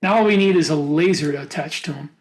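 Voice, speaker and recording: A man's voice: on the high side, fast talker, slight background noise. A man co-hosting a podcast, talking casually with others about science fiction. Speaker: podcast co-host.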